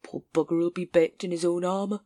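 A woman's voice: fairly low pitch, flat delivery, brisk, warm tone, dry recording, natural voice. Woman doing a know-it-all old sergeant. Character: stout, self-assured middle-aged sergeant, man.

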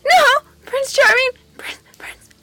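A woman playing a captive fairy-tale princess in an intimate audio drama, breathy and tearful, pleading yet defiant. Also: extremely high pitch, slow, bright, forceful tone, no echo, full character voice. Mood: desperate pleading. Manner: breathy, tearful, gasping, often whispering. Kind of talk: intimate audio roleplay acting.

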